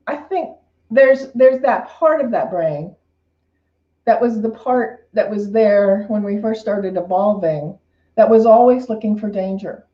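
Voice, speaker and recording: A woman's voice: medium pitch, measured pace. A woman minister giving an informal, unscripted spiritual talk in a reflective, conversational way, often repeating and rephrasing her phrases. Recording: roomy, slightly dull lo-fi sound.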